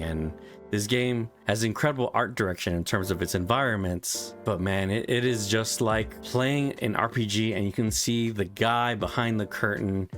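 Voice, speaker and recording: A man's voice: fairly low pitch, fairly bright tone. A young man giving a frank, casual spoken game review, swearing freely, with faint music behind him.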